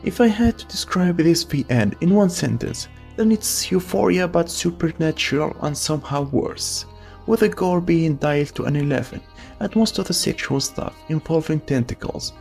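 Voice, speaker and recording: Lively, gravelly voice-over narrator. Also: medium pitch, moderate pace, soft background music. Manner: opinionated, wry asides. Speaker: video essay narrator. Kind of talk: scripted voice-over commentary.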